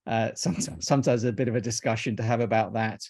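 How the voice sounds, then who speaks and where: A man in his fifties, originally from Iraq, chats as an interview guest. His delivery is fairly flat, with little rise and fall in pitch, and he stumbles through his sentences, repeating words and starting over. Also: fast. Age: 50s. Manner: hesitant, repeats words and restarts often. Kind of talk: casual interview chat.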